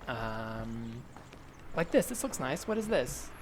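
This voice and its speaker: A man's voice: medium pitch, very animated, slow. A man voicing a defensive, evasive wife in an improvised comedy, feigning innocence as he speaks. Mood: defensive, indignant. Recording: podcast with faint background noise.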